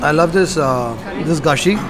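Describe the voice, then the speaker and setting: A man's voice: fairly high pitch, normal speed. A middle-aged man with an Indian English accent, hosting a food vlog and explaining flavors at a restaurant table. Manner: descriptive, savoring, explanatory. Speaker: food vlogger host. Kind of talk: casual food-tasting commentary. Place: restaurant dining table, indoors.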